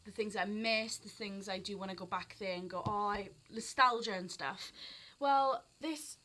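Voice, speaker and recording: Bright-voiced teenage girl with a Welsh accent. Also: medium pitch, moderate pace, rough recording. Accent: South Wales, Barry, with some English sounds. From South Wales. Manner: rambling, expressive, jumps between thoughts. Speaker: vlogger. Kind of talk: unscripted casual rant.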